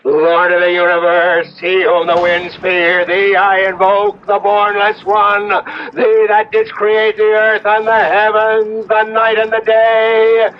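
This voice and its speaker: A man's voice: high voice, monotone, slow pace, hardly any pauses. Obsessive young male student, intense and incantatory, radio play acting, dull hissy recording. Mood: fervent, invoking. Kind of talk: old-time radio drama acting.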